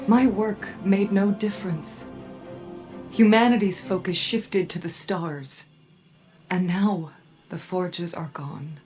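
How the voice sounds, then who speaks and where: An actress playing a weary, proud forge leader in a game cutscene, speaking in a measured, formal way over music. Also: female voice, medium pitch, darkish tone, close to an everyday voice. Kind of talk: dramatic game cutscene monologue. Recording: music behind the voice. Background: cinematic music.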